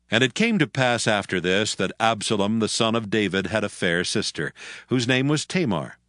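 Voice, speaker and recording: A man narrating scripture in a solemn, measured and formal way. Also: lowish voice, a bit bright.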